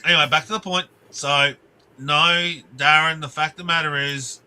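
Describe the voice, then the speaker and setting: A man's voice: on the high side, fairly even intonation, normal speed, sharp tone, clear, resonant tone. A man ranting on a live stream, rambling and restarting his sentences, swearing and breaking off to greet viewers in the chat.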